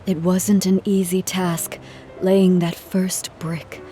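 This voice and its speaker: A woman playing a courteous, reflective, dignified travelling performer in a video game, with measured formal delivery. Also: on the low side, flat delivery, dark tone. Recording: music under the voice.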